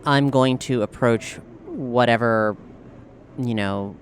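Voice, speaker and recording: Male role-play gamer, medium pitch, improvising haltingly, faint background noise. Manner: halting, thinking aloud. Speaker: tabletop role-playing game player. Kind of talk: improvised in-character play and narration. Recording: steady noise behind the voice.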